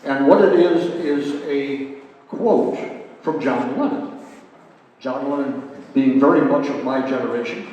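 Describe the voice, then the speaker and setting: An elderly man gives a live poetry reading in a reverberant hall, picked up from some distance. His delivery is slow and measured, with deliberate pauses between phrases. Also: mid-range voice, dull audio. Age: 80s. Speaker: poet and author reading own work. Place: art gallery, live reading event. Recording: very echoey hall, distant microphone, faint noise.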